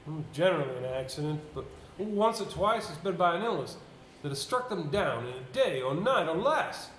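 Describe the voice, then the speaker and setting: A man on stage playing a grave local man who solemnly and haltingly recounts a village haunting. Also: on the high side, very animated, a bit bright. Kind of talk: stage play dialogue. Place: indoor theatre stage. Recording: echoey amateur stage, faint noise.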